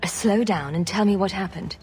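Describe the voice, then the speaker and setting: Fantasy game heroine, played by a woman: confident, wry and deadpan, mid pitch, faint music. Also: a little fast, close to an everyday voice. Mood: matter-of-fact. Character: dry, sardonic woman adventurer, confident leader. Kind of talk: scripted fantasy game dialogue.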